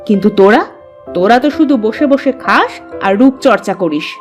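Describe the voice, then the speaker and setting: A woman's voice: medium pitch, expressive, fairly quick, faint music behind, lightly acted. Woman voicing a nagging cartoon village mother. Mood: reproachful. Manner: nagging, reproachful. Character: scolding, hardworking middle-aged village mother. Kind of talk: animated moral-story cartoon dialogue.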